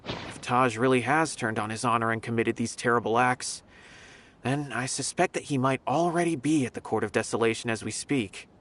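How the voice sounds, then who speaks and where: A man's voice: mid-range voice, normal speed, fairly bright tone, slight background noise, close to an everyday voice. A man voicing a thoughtful scholar investigating a case in a video game, reasoning carefully aloud.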